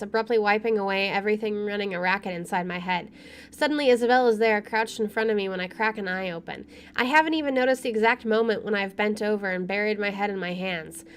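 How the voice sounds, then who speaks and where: A woman streamer reading a visual novel's narration aloud in an expressive, storytelling style. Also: medium pitch, fast. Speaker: let's play streamer. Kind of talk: expressive read-aloud narration of game text. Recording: faint background noise.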